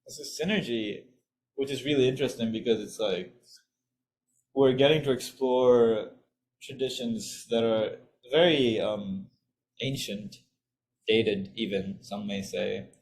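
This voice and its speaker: A man's voice: mid-range voice, fairly even intonation, a little slow, darkish tone, low-quality recording. A young man from Sri Lanka, a student telling a story casually and eagerly, full of fillers. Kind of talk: casual talk in an online info session.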